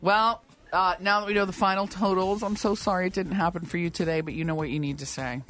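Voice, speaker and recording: A woman's voice: fairly low pitch, steady tone, fast talker, a bit bright. A woman hosting a radio show, bantering casually during a quiz. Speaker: radio host and quiz player.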